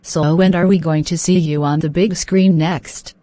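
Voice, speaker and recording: A woman plays a polite and eager young woman in an educational cartoon. Her voice sits a little low for a woman. The lines are scripted and deliberate, recorded very dry with no room sound. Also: steady tone, warm tone, dry recording, natural voice. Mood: polite, interested. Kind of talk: scripted English-learning dialogue.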